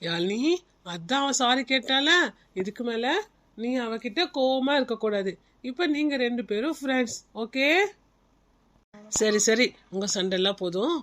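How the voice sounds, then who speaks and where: A woman's voice: a little high, expressive, a little slow, long pauses. A woman playing a strict but caring senior schoolteacher in a classroom skit, instructing small children and checking that they agree. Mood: scolding.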